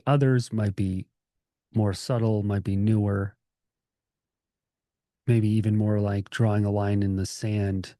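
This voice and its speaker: A man with a dark, mellow voice guides a meditation in a gentle, unscripted manner. He sits on the low side in pitch and pauses between phrases, keeping an informal, calming tone. The sound is dry, with hardly any room on it. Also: no echo. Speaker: meditation teacher.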